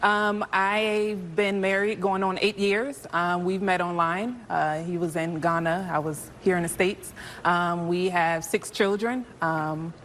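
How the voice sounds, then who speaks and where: A woman's voice: lowish voice, steady tone, unhurried, fairly bright tone, slight background noise. A woman answering casual introductory questions as a contestant, a little hesitantly. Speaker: game show contestant.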